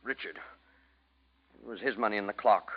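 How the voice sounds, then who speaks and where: An actor playing a desperate, guilt-ridden husband in a 1940s radio drama, with agitated, defensive, melodramatic delivery. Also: male voice, lowish voice, normal speed, husky. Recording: muffled vintage radio recording.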